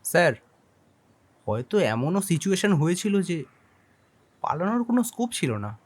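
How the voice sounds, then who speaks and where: A male actor plays an eager young secretary in an audio detective drama. His voice is high and very animated, and he reports in a brisk, deferential way.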